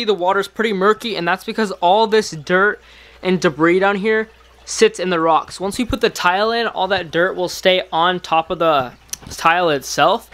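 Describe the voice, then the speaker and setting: A man's voice: high-pitched, a bit bright, slight background noise. A young adult American man chatting casually as an aquarium hobby vlogger, talking to a camera at home. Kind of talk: casual vlog chat and narration to camera. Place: mostly indoors in a home room with fish tanks.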